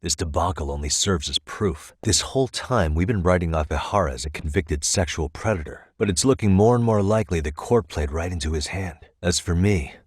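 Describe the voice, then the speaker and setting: A male actor voicing a composed, determined private detective in a video game, with a measured, probing delivery. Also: low-pitched, breathy edge, no room sound, studio quality. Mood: focused, determined. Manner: measured, probing, matter-of-fact. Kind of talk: English video game dialogue dub. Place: dry recording studio.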